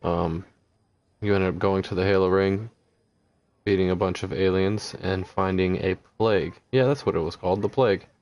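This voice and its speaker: A man talking quietly on a live game stream through a headset, musing aloud and reading in-game text, sometimes stumbling over odd names. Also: sits low, steady tone, moderate pace, dull audio. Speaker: livestreamer playing a video game. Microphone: headset with noise gate.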